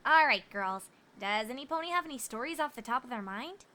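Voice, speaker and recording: A woman acts out an earnest young female unicorn from a cartoon fan story. The voice is high, very bright and eager, with lively swings in pitch over music. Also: a little fast. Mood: friendly. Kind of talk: fan fiction character voice acting.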